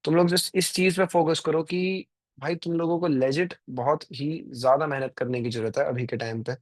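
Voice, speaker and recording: A man's voice: fairly high pitch, steady tone, moderate pace, no echo. A man, chatting casually to viewers on a live stream, rambling and full of fillers. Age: 20s. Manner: rambling, filler-heavy, addresses viewers directly. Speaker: trading stream host.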